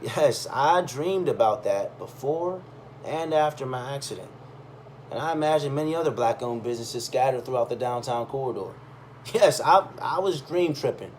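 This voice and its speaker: A man tells a personal story from a written text in a medium-pitched voice. His delivery is conversational and expressive, leaning on pauses and emphasis. There is faint noise behind him. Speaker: reader of a personal essay. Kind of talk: expressive first-person storytelling, read aloud.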